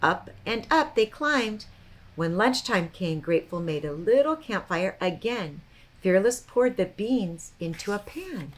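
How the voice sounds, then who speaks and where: Female storyteller for a kids' program, expressive read-aloud delivery, mid-range pitch. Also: a little slow, low-quality recording. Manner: expressive, child-directed storytelling with asides. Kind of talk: reading a picture book aloud to children.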